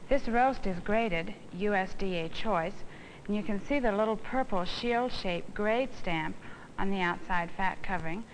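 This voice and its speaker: An American woman giving an instructional demonstration, explaining things in a deliberate, didactic way. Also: medium pitch. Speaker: instructional film presenter, home economist. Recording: noisy old instructional film soundtrack.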